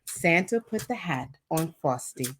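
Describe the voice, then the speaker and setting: A woman's voice: low voice, measured pace, breathy edge. A woman reading a children's story aloud expressively, acting out the dialogue as she goes. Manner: animated, acts out dialogue. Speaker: storytime reader.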